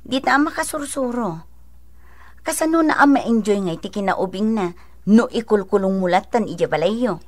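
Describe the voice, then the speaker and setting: An actress playing a sensible mother and elder sister who gives gently admonishing advice in a radio drama. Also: mid-range voice, expressive, normal speed, lightly acted. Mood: patient advice. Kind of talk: Ilocano radio drama acting.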